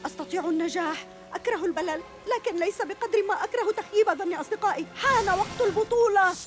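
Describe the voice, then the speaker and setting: Small boy superhero for a cartoon: high, earnest, anxious yet determined, music behind. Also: fast. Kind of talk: dubbed children's cartoon acting.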